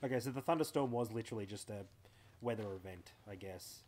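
A man's voice: lowish voice, fast talker, darkish tone, slight background noise. A young Australian man chatting playfully to himself while streaming a game live from home. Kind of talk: live gameplay commentary.

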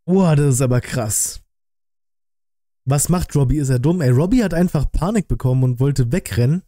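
Casual male reaction host, medium pitch. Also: animated, moderate pace, warm tone, dry recording, clean studio sound. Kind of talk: casual live reaction commentary. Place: small room indoors at a desk.

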